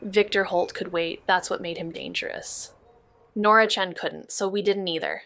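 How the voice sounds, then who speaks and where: An actress playing a guarded, precise young sleuth in an audio drama, with dry, sarcastic delivery. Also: female voice, on the low side, expressive, moderate pace, pushed and bright. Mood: grim resolve. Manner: dry, hesitant when personal. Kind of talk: scripted audio drama dialogue and narration.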